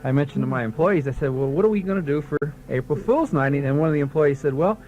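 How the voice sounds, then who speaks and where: A man's voice: a little high, animated, fast talker, a little mellow, soft background music. A man chatting casually as a business-owner guest in a radio promo. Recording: dull lo-fi archive radio recording.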